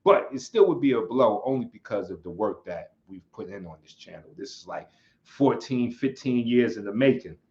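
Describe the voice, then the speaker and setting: A man hosting his own livestream in a relaxed, rambling way. He chuckles often and reads chat comments aloud. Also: mid-range voice, normal speed. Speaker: channel host. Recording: slightly dull lo-fi sound.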